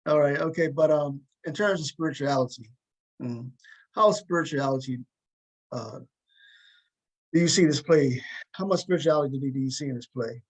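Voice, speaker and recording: Higher-voiced man, halting talk show host. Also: low-quality recording. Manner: halting, repeats words and rephrases questions. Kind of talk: casual conversational interviewing.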